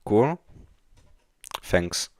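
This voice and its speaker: A man from the Czech Republic with a Czech accent, chatting casually on a live coding stream in halting, hesitant non-fluent English. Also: no room sound, clean studio sound. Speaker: game developer live streamer. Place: indoors at a computer, keyboard clicks behind.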